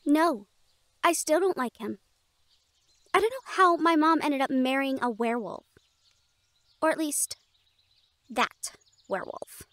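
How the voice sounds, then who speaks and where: A woman's voice: high-pitched, dry recording. A woman voicing a snobby, catty teenage catgirl cheer captain in an audio roleplay, talking in a haughty, teasing and bossy way. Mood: annoyed.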